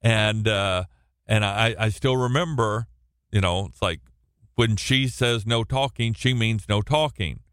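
A man teaching through a Bible passage on the radio, with a loud, projecting voice and a halting delivery full of restarts and repeated words. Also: lowish voice, clean tone, no room sound, clean studio sound. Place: radio studio, alone.

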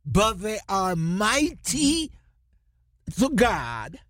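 A Jamaican man, a pastor co-hosting a radio ministry show, preaching emphatically with rhetorical questions and drawn-out words. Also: high voice, wildly swooping pitch, fairly bright tone, no room sound, clean studio sound.

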